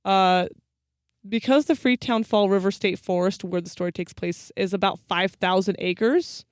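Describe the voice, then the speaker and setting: A woman's voice: mid-range voice, moderate pace, clean tone, no room sound, clean studio sound. A woman hosting a podcast, telling stories in an informal, wry, chatty way. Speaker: podcast host. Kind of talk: casual storytelling chat with co-host.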